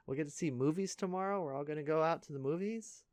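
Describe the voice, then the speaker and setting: A man playing an earnest, caring high school senior in an intimate audio roleplay, speaking casually and haltingly to a best friend. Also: darkish tone, no room sound, clean studio sound, natural voice. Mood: earnest, reassuring. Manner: casual, halting, stammering, conversational.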